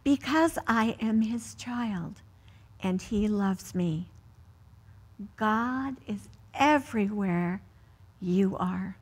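An American woman in her sixties speaking to children as a church story time host, in deliberate phrases with pauses. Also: medium pitch, breathy edge. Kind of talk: read-aloud storytelling and prayer for children. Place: indoors, seated in a church.

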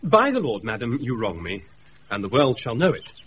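Mid-pitched, declamatory British servant. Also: male voice, huge pitch swings, normal speed, fairly bright tone, dull audio. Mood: declaiming, indignant. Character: household servant solemnly reading out an angry letter. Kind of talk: 1980s radio Shakespeare acting. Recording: noisy off-air radio recording.

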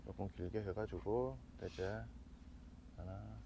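A man's voice: fairly low pitch, slow pace. A man chatting casually and explaining fishing gear in an outdoor vlog. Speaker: host of a fishing channel. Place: outdoors on a seaside walkway. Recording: dull outdoor sound with faint background noise.

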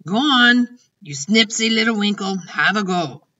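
Storybook giant, ancient, gentle, proud, childlike played by a woman; forceful, drawn-out, garbled grammar, slightly dull distant recording. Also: medium pitch, animated, voice pushed up. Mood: disgusted, goading. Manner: mangled grammar and invented words.

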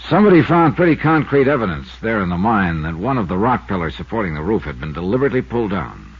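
A man's voice: fairly low pitch, sing-song, quick pace, slightly rough, full character voice. A man playing a shrewd, unflappable investigator with an American accent in a 1950s radio detective drama. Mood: probing. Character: shrewd, unflappable male insurance investigator, probing and wry. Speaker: lead actor and narrator. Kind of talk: 1950s radio detective drama acting and first-person narration. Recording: dull, band-limited 1950s radio recording.

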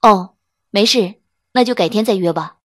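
A woman's voice: medium pitch, moderate pace, fairly bright tone, pitched below the performer's own voice, natural voice. A woman voicing a proud young businesswoman who hides old heartbreak, polite and restrained, in an audiobook. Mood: composed, polite.

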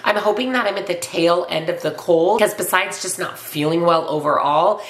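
A woman talks to the camera from her home office, her voice stuffy and congested from a cold. She sits a little low in pitch and keeps an upbeat, chatty flow, often circling back to repeat herself. The room adds a noticeable echo. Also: moderate pace. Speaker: weight loss vlogger. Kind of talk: casual vlog to camera.

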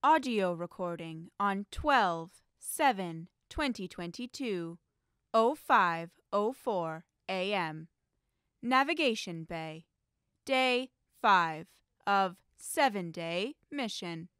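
A formal female log announcer speaks slowly in clipped phrases. The voice is smooth and crisp, in a dry recording. A woman acts it out for an audio drama. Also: mid-range voice, lively intonation, fairly bright tone, no room sound.

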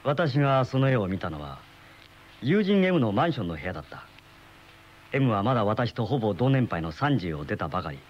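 A man's voice: fairly low pitch, sing-song, normal speed. An actor playing a pensive man in his thirties with a secret obsession, in a radio drama. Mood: brooding. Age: 30s. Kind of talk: radio drama acting with first-person narration. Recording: dull lo-fi old radio recording, music behind.

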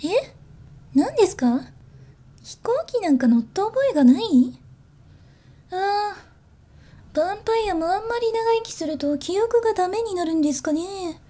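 Girl doing a zombie bunny cabin attendant, super polite n teasing, high soft voice, asmr drama. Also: lively intonation, moderate pace, long pauses. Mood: politely teasing. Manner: soft, formal customer-service politeness. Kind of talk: Japanese ASMR situation voice drama.

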